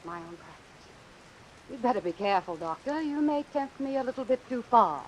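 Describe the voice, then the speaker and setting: A woman's voice: on the high side, animated, brisk, close to an everyday voice, rough recording. An actress playing an embittered, ambitious woman doctor in an old-time radio suspense drama, speaking in a cool, clipped, sardonic way. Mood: coolly intrigued. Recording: hissy vintage radio recording with rain. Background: hiss and falling rain.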